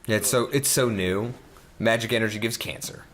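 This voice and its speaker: A man's voice: on the low side, moderate pace, a bit bright. A man hosting a let's play, giving casual, joking game commentary full of riffs. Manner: joking, profane, riffing. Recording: faint background noise, game sound.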